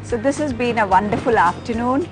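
Fast, gushing Indian woman host, music behind. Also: a little high, poor audio. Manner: effusive, gushing. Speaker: TV cookery show host. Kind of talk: casual presenting, mixing English and Hindi. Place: open-air veranda beside a garden, at a dining table.

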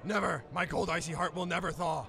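A man's voice: high voice, steady tone, a little fast, vocal fry, a crowd in the background. A man voicing an arrogant, hot-tempered teenage athlete, pompous and melodramatic, in a comedic anime parody. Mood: indignant. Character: brooding, arrogant, hot-tempered teenage male volleyball player. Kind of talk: melodramatic comedic fan-dub acting. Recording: music under the voice.

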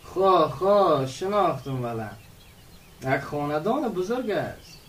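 A man's voice: on the high side, big pitch swings, fairly bright tone, distant mic, a little hiss or hum. An actor playing a polite, inquisitive young village man in a radio drama, speaking in a questioning, courteous way. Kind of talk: radio drama acting in dialogue.

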